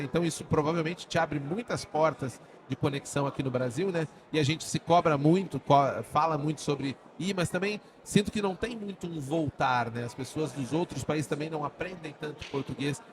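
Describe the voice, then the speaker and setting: Rambling Brazilian podcast host. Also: male voice, a little high, background noise. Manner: rambling questions full of "né?". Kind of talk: podcast interview. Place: studio setting.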